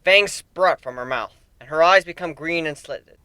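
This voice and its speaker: A man reads a fantasy story aloud as an amateur narrator. His voice is high for a male and very crisp and bright, with theatrical, animated delivery in a dry recording. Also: moderate pace, dry recording. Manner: theatrical, expressive. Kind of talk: dramatic reading of fan fiction.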